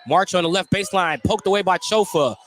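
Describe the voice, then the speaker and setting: A high-voiced man works as a radio play-by-play announcer for basketball. He follows the action in short, clipped phrases, naming each move as it happens. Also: brisk, a bit bright, faint music behind. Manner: clipped running descriptions of the action. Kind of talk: live basketball play-by-play commentary. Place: at a basketball game in a gym.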